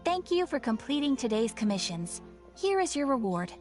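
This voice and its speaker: A woman's voice: high voice, very animated, moderate pace, a little mellow. A woman playing a polite, formal guild receptionist in a fantasy video game, speaking in a courteous scripted style. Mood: courteous, welcoming. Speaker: game character. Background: game music.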